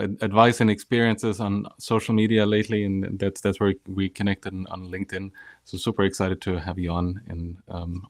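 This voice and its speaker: Upbeat low-voiced male live show host. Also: no room sound. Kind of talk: live show hosting, casual.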